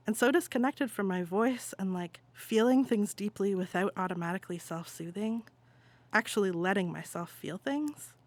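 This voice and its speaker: A woman's voice: medium pitch, no room sound. A woman playing a wistful adult who confides in a cousin in an introspective, informal monologue.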